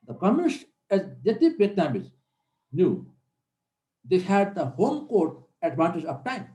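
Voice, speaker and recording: A man's voice: high-pitched, very animated, measured pace, mellow. A middle-aged man, giving an earnest classroom lecture as a military instructor and repeating key points for emphasis. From Nepal. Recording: roomy echo.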